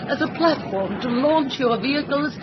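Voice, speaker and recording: A calm alien visitor, played by an actor in an old-time radio drama, lecturing humanity in measured, formal phrases with lofty superiority. The sound is muffled, with a loud engine rumble underneath. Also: over a noisy crowd. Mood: calm, ominous.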